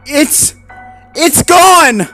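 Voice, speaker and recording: A man's voice: sits high, slow, faint music behind, voice pushed up. A man doing a jittery, stammering Great Dane. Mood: panicked. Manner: nervous, stammering, flustered. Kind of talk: storybook character voice.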